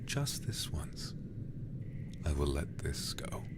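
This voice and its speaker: A man's voice: very deep voice, very animated, heavily acted. A man doing a whispering, possessive lover. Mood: hushing, stern. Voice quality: soft whispering, hushing. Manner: slow, coaxing, falsely tender. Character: possessive, controlling male lover, soothing yet menacing. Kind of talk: audio roleplay, whispered.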